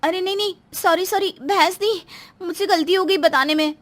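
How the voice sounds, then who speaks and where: A woman's voice: very high voice, moderate pace, a bit bright, voice pushed up. A woman voicing an innocently tactless, cheeky young daughter-in-law in a cartoon moral story, chatty and outspoken. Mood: blithely tactless.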